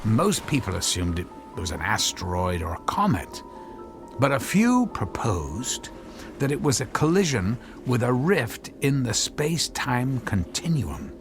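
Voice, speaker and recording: An actor playing an obsessed, apologetic middle-aged scientist and husband in a radio drama, halting and repeating his words. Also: mid-range voice, sing-song, soft background music. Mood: earnest, intense.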